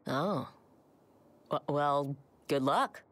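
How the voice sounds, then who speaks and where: A laid-back young woman butcher from a video game, with a lowish voice. She is casual and slightly awkward, recorded dry and close. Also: extremely slow, a bit bright, dry recording, heavily acted. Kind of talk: video game character dialogue.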